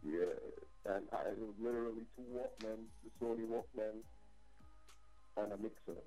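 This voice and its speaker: A man in his forties from Trinidad and Tobago talks over a phone line as a guest DJ. His voice sits a little low and comes across loud and animated as he tells his story. Faint music plays behind him. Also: moderate pace. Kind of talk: casual phone interview.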